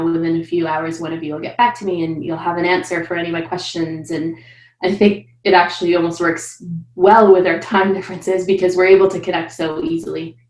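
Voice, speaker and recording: A woman in her thirties, a coaching client, talking in an animated, upbeat way over a video call from a room at home. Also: lowish voice, echoey room, poor audio. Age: 30s. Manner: animated, upbeat, says she is loud. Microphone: video call webcam.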